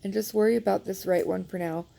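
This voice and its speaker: A woman's voice: mid-range voice, steady tone, fast, gravelly, dark tone. A woman demonstrating a knitting repair in a chatty, self-deprecating way, congested and sniffling, recorded on a phone. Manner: chatty and self-deprecating, many fillers, apologetic. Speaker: knitting instructor. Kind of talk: casual how-to demonstration. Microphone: phone held nearby.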